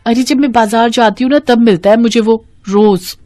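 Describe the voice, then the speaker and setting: A woman voicing excitable young twin sisters in an expressive, chatty way for a dramatized moral story. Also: fairly high pitch, normal speed, no room sound, studio quality, close to an everyday voice. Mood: shy, confiding.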